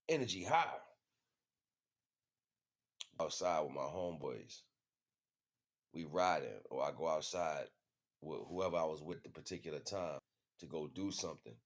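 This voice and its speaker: A man's voice: low-pitched, measured pace, pauses often. A man talking in a casual, rambling monologue, telling stories in slang and often checking that the listener follows. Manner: slangy, animated storytelling with frequent check-ins. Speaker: livestream host.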